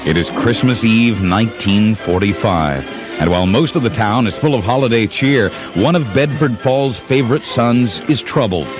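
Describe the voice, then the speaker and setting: Man as storybook narrator for a holiday radio play, deep, measured and wistful, music underneath. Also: expressive, lightly acted. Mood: solemn, wistful. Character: male storyteller narrator of a wistful Christmas tale.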